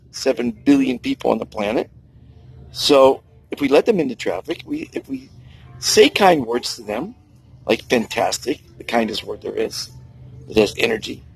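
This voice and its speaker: Older man, 60s, gravelly husky voice, animated and preachy vlogger speaking outdoors by the sea. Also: rough recording. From United States. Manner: earnest, preachy, emphatic with big pitch swings. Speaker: kindness vlogger. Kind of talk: outdoor personal monologue.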